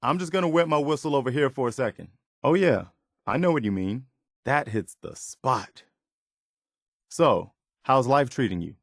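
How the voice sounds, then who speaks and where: An actor playing a principled, loyal and weary young militia soldier in a video game, speaking sincerely and plainly. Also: male voice, mid-range voice, lively intonation, moderate pace, no echo, clean studio sound. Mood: relaxed. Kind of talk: video game companion dialogue.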